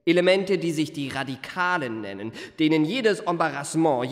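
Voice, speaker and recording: An actor playing a young marquis in a radio play, suave and gushing, with florid, elaborately courteous speech. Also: male voice, fairly high pitch, big-room sound. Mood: earnest, courtly. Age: young adult. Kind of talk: literary radio play, first-person narration and dialogue.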